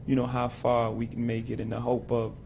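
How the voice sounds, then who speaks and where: A man in his forties, an interview guest, talking hesitantly and filling pauses with "you know". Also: medium pitch, monotone, vocal fry, a little mellow, a little hiss or hum. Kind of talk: casual interview talk. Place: studio set like a living room.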